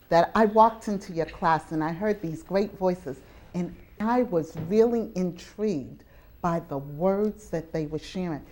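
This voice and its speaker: A woman hosting a live educational television show, speaking deliberately with drawn-out emphasis on words. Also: lowish voice, darkish tone. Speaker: television show host and teacher. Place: live television taping at a community college.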